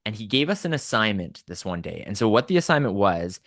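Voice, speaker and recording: A man's voice: on the low side, big pitch swings, a little fast, a bit bright, no room sound, clean studio sound. A man from Southern Alberta with a Canadian accent, telling personal stories conversationally and articulately as a podcast guest.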